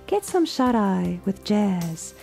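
Woman acting a slow, sultry jazz radio announcer. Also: medium pitch, big pitch swings, creaky, darkish tone, faint music behind, full character voice. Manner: slow, drawn-out, seductive. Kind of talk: radio station promo.

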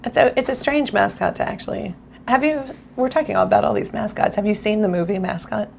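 A young woman co-hosting a casual studio chat, chatty and quick to laugh. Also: medium pitch, expressive, vocal fry, slight background noise. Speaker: co-host. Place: small studio set at a table.

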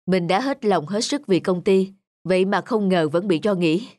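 A woman's voice: medium pitch, moderate pace, darkish tone, dry recording, close to an everyday voice. A woman voicing an earnest, reflective young husband and devoted father in a cartoon. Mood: dejected. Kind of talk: cartoon dubbing. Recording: music behind the voice.

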